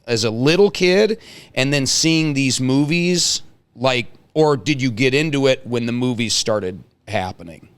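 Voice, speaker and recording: A man's voice: medium pitch, animated, a little slow, smooth. A man in his forties hosting a casual interview, talking informally with slang and rambling asides. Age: 40s. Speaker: host.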